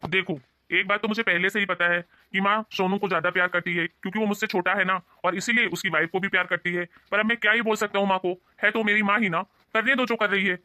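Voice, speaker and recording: An actor playing a placating, exasperated husband who avoids conflict in an animated family story. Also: male voice, sits high, fast talker, no echo.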